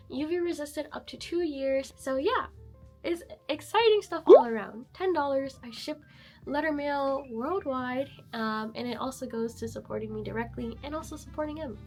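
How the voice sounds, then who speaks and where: High, hoarse-voiced woman vlogging. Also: moderate pace, a little mellow, soft background music. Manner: chatty, upbeat, frequent fillers. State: hoarse, sick and losing her voice. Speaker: vlogger and artist vendor. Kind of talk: casual vlog chat to camera.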